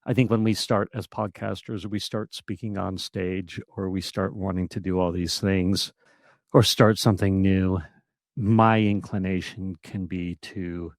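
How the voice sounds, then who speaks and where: An outgoing man with a fairly low, powerful voice that projects, chatting as a podcast guest. He is animated and laughs often, and the sound is close and dry with no room echo. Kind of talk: casual interview conversation.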